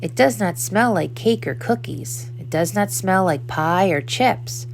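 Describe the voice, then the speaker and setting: A woman's voice: mid-range voice, animated, unhurried, vocal fry, a little hiss or hum. A woman voicing an eager, hungry young female puppy in a children's storybook, with emphatic, childlike delivery. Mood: excited.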